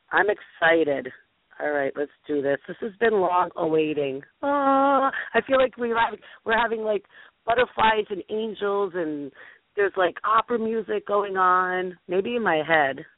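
A woman hosting a casual talk show, deep-voiced and bubbly, gushing and peppering her talk with "like". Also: animated, measured pace, no echo. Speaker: host. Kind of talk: casual chat show banter. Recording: tinny phone-line radio sound.

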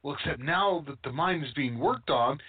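A woman's voice: deep voice, animated, moderate pace, husky. A woman playing a flustered, indignant character in a radio play, stammering and hesitant. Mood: flustered, nervous. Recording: tinny phone-like line.

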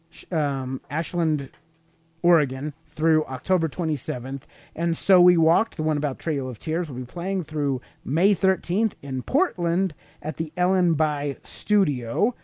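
A man's voice: on the high side, darkish tone, dry recording. Man, American accent, podcast host, very varied intonation, informal radio talk, some reading aloud.

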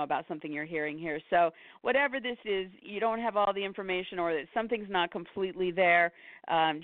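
A woman astrologer runs through the week's signs in a hoarse voice, fading as she goes. She strings long lists together with ums and brief laughs. The sound is dry and thin, like a phone line. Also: medium pitch, no echo. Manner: rapid listing, with fillers and short laughs. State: hoarse, losing her voice. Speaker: astrologer host. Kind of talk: weekly horoscope talk.

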